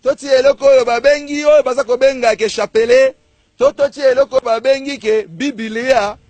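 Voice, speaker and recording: Very high-pitched Congolese preacher man. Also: steady tone, normal speed, darkish tone, no room sound. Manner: declamatory, emphatic, rhetorical questions. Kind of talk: fiery street-style sermon. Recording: faint crowd behind. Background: faint crowd.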